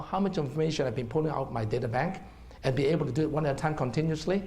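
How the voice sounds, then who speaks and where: A man's voice: mid-range voice, a little mellow. Hong Kong-accented man explaining earnestly. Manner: earnest, explaining, checks in with "okay?". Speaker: herbal doctor giving a seminar. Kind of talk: seminar talk with slides. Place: seminar room.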